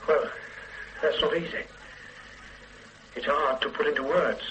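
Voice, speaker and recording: A man playing an older father on the run in a radio drama, soft and halting as he pleads with his grown daughter. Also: fairly high pitch, a little hiss or hum, poor audio. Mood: wistful.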